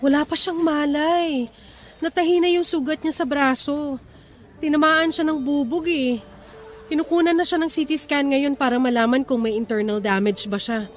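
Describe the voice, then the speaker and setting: A woman's voice: sits high, moderate pace, soft background music, natural voice. A woman playing an earnest, steadfast wife and mother who soothes and reassures, in a radio drama. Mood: worried, relieved.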